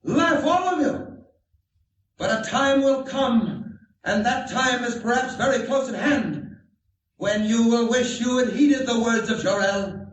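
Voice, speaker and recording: A man's voice: very high-pitched, measured pace, distant mic. A man playing a grave, impassioned alien scientist foretelling doom in declamatory 1940s radio style. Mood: urgent, impassioned. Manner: solemn, oratorical, declamatory. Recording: echoey 1940s radio broadcast recording.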